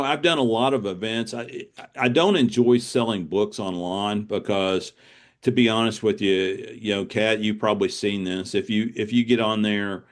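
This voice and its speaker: A man speaking conversationally as an interview guest, explaining things and repeating words as he thinks. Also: lowish voice.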